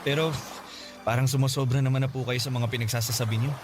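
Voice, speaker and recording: A man's voice: medium pitch, fairly even intonation, fast talker, over music, natural voice. Man acting a firm, respectful young nephew. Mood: firm, upset. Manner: polite, firm reproach. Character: respectful but firm young nephew defending his mother. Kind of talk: radio drama acting.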